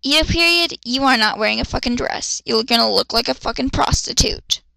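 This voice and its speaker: A woman's voice: a little high, brisk, creaky, bright, forceful tone, no room sound, low-quality recording. A woman doing a dramatic reading of fan fiction, with sarcastic, exasperated, foul-mouthed commentary.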